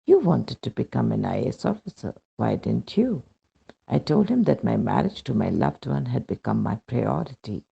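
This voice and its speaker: A man with an Indian accent and a husky, gravelly voice reads a first-person devotional account aloud as scripted narration, in a dull lo-fi recording. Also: medium pitch, warm tone. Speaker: reader of a devotional testimonial.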